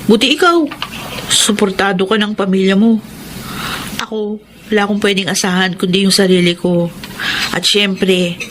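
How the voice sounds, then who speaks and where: A woman's voice: mid-range voice, fairly bright tone, a little hiss or hum, natural voice, poor audio. A woman playing a hardworking, devout young working student in a Filipino radio drama, earnest and polite. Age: young adult.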